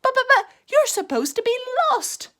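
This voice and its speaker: A Scottish woman voicing a fretful, nervous male rabbit in a storybook, with squeaky, panicky cries. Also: very animated, normal speed, bright, forceful tone, heavily acted.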